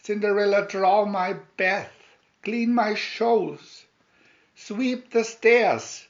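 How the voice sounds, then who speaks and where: A shrill, spiteful young woman of fairy tale, put on by a male reader. She is bossy and demanding, dragging out her high-pitched orders. The recording is a little dull. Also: full character voice. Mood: shrieking, demanding. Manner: shrieking, drawn-out demands. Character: shrill, bossy, spiteful stepsisters barking orders at servant girl.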